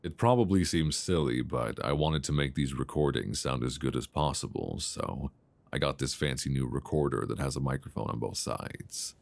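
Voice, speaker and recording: A man playing an affectionate, gently teasing long-distance boyfriend in an intimate, unhurried ASMR roleplay voice message, speaking conversationally close to a binaural microphone. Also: extremely low pitch, gravelly, no room sound. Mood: tender, playful. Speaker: audio roleplay performer.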